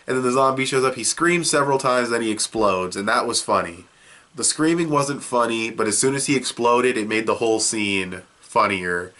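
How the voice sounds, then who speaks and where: A man's voice: mid-range voice, fairly bright tone. A young man with a cold, sniffling as he reviews a show in a loose, rambling way full of fillers. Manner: rambling, loose and offhand, with many fillers and restarts. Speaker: video reviewer. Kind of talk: casual video review.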